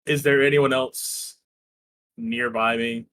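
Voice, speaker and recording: A man's voice: medium pitch, fairly even intonation, takes it slowly, a bit bright, no echo. A man chatting casually as a player in a tabletop roleplaying game, asking questions and stating his actions.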